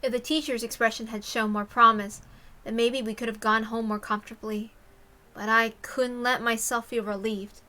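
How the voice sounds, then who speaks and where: A woman's voice: on the high side, fairly even intonation, normal speed, bright, forceful tone. A woman reading a story aloud expressively, with casual commentary of her own. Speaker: reader and commentator.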